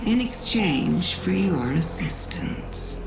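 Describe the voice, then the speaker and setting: A woman's voice: lowish voice, big pitch swings, gravelly, over a noisy crowd, heavily acted. Sly, echoing witch queen. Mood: sly, mocking. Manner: slow, theatrical, condescending. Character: sly alien witch queen, mocking and imperious. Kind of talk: scripted video game dialogue. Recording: echoing voice over loud music.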